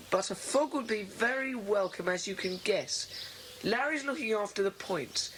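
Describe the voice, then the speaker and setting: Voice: mid-range voice, fairly bright tone. An earnest schoolboy member of a secret club. The delivery is matter-of-fact, in audio drama style, on a faintly noisy cassette recording. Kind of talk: children's audio drama acting.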